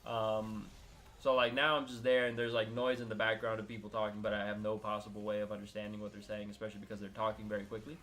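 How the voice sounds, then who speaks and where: A man's voice: fairly low pitch, level tone, quick pace, a bit bright. A young man from Miami chatting casually as a co-host, rambling with lots of filler words and restarts. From Miami, United States. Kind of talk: casual chat.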